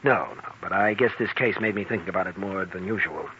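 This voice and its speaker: A low, forward-voiced young intern, brooding and vengeful, in 1940s radio drama style. He sounds like someone nursing an old wrong. The recording is muffled, with faint hiss. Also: fairly quick, sharp tone. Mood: brooding, guarded. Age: young adult.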